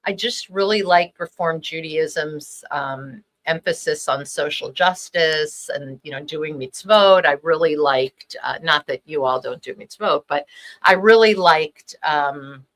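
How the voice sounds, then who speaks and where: Middle-aged lady rabbi, hebrew was her first language, bright voice, lots of ums. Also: deep voice, a little slow. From Los Angeles, USA. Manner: warm, reflective, many ums. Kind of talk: personal storytelling.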